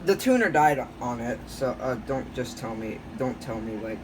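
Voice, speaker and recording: A man giving a casual, unscripted show-and-tell as a hobbyist vlogger, rambling and halting, often correcting himself as he goes. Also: medium pitch, lively intonation. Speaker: hobbyist vlogger showing a radio collection. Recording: clear background noise, home video.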